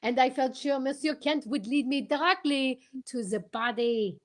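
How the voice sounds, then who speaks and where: Woman playing a high-voiced, courteous gentleman detective. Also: close to an everyday voice. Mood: explaining. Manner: theatrical, deliberate, tag-questioning. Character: courteous, self-assured male French-speaking detective explaining his case. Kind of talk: amateur old-time radio play reading.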